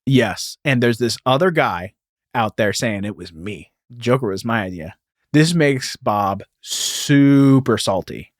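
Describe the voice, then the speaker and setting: A man's voice: medium pitch, lively intonation, slow, no room sound. Man explaining in a dry, close recording. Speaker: podcast co-host. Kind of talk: casual explanatory chat with some reading aloud.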